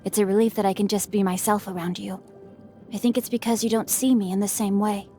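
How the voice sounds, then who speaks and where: A woman's voice: mid-range voice, steady tone, a little fast, mellow, close to an everyday voice. A woman voicing a bookish, mischievous young noble boy in a video game, speaking in refined, formal phrases with a playful, teasing manner. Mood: composed, cheerful. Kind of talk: scripted game character voicelines. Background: background music.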